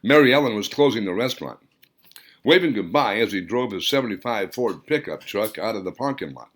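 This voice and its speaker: Animated man narrating an audiobook. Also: lowish voice, brisk. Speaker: author reading own novel aloud.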